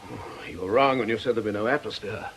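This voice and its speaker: A man playing a stern, forceful priest in a radio drama, grave, insistent and accusing. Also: lowish voice, fast talker, a little hiss or hum, lightly acted. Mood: intense, accusing.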